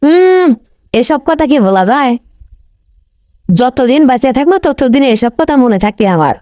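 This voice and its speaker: A woman's voice: high voice, sing-song, moderate pace, dark tone, no room sound. A woman voicing a quarrelsome, defiant village wife in a comic cartoon, curt and scolding, with a North Bengal accent. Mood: resentful. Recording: slightly noisy recording with clicks.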